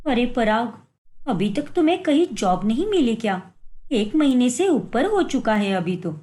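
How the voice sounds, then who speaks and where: A woman's voice: on the high side, sing-song, a little slow, warm tone. A woman voicing an earnest, devoted young wife in a cartoon moral story, speaking emphatically. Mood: concerned. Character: devoted young wife, supportive, righteous when wronged.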